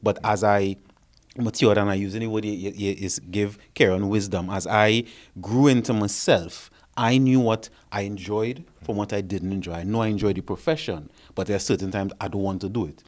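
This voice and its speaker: A fairly low-voiced man, a therapist, lays out his theory to the panel. He talks in an explanatory way and keeps checking in with the others by asking "right?" His delivery stays measured and conversational. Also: fairly even intonation. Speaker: therapist and behavior consultant.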